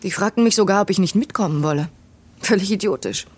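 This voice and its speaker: A woman playing a weary waitress recounting her past in measured, bitter tones in a German audio drama. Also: mid-range voice, slightly rough, close to an everyday voice. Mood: disgusted, weary. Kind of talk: audio drama narration and dialogue.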